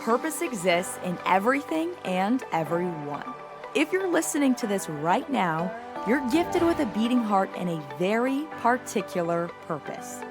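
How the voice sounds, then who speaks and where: An upbeat woman in her thirties hosts her own podcast and talks like a life coach. Her voice sits in a mid-range pitch and sounds enthusiastic and confiding. Soft music plays faintly underneath. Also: sing-song, moderate pace. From South Florida. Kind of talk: personal podcast monologue.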